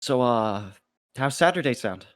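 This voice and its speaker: A man's voice: mid-range voice, animated, a little slow, a bit bright, no room sound, clean studio sound. A man playing a nervous, self-deprecating college freshman with a hesitant delivery in a script table read. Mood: relieved, shy. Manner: hesitant, stammering when nervous.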